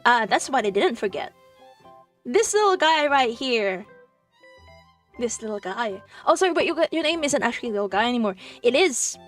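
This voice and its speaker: A woman's voice: fairly high pitch, very animated, normal speed, recorded from a distance. A woman streaming casually as a VTuber rep, bubbly and giggly, with lots of fillers and playful restarts. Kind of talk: casual live-stream chat. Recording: voice a little off-mic, faint music behind.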